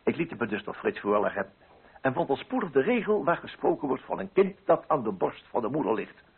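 An actor playing a pompous, prudish middle-aged coffee broker with a Netherlands Dutch accent in a radio play monologue. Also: male voice, mid-range voice, very animated, a little fast, heavily acted. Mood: indignant, fussy. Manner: pompous, fussy, self-righteous. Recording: husky, narrow-band old radio recording.

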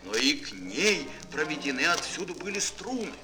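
Мужчина театрально и декламационно рассказывает детскую сказку как рассказчик аудиоспектакля. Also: male voice, on the high side, big pitch swings, moderate pace, over music. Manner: theatrical, declamatory. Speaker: narrator. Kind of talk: dramatic fairy-tale narration for children. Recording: old mono record, faint noise.

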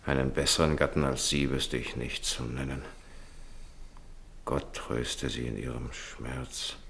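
An actor playing a grave, kindly parish priest in a 1950s German radio drama, speaking in a measured, consoling manner on a noisy old recording. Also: male voice, a bass voice, little pitch movement, gravelly, a bit bright, heavily acted. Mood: solemn, consoling.

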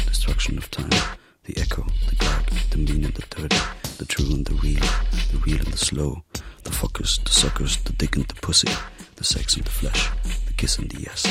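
A very deep, dark narrator, detached and ominous. He intones short phrases incantation-style over loud music, as in radio drama.

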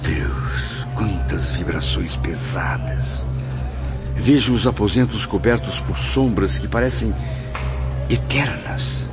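A man's voice: on the low side, slow. An actor with a Brazilian accent playing a troubled male spirit who recounts his memories in dramatic, literary radio narration. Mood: sorrowful, appalled. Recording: dull lo-fi audio under loud music.

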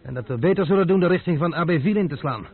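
An actor playing a bold, hot-tempered gentleman traveler in a radio play who talks in a brash, commanding way. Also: fairly high pitch, lively intonation, racing, breathy edge. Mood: breezy. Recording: old phone-like noisy radio recording.

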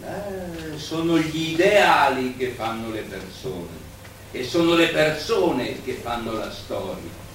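Idealistic, cautious doctor, soft-spoken and unhurried, radio drama, echoey noisy recording. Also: male voice, mid-range voice, animated. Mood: consoling, earnest. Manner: soft-spoken, measured, conciliatory. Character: gentle, cautious idealistic doctor in fascist-era Italy. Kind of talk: stage-style radio play acting. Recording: echoey, distant, noisy room.

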